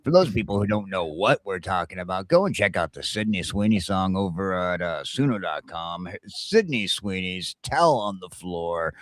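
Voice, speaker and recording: A man hosting a casual morning talk show, rambling haltingly and sounding groggy, with a crude joking streak. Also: deep voice, no echo.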